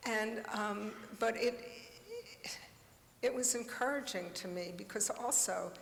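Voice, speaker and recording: A woman's voice: on the high side, unhurried, vocal fry. A woman in her seventies talks bluntly and with passion from a conference stage. Her voice rings through a large hall, with a long reverb trailing each phrase. A faint room hum sits under her words. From United States. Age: 70s. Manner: passionate, blunt, with laughs and asides. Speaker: panelist. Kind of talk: conference panel talk.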